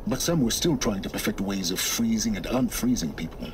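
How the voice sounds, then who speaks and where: An actor playing a cold, secretive middle-aged male scholar of ancient ruins, measured and condescending. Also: lowish voice, lively intonation, noisy background, natural voice. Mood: explaining. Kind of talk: video game cutscene acting.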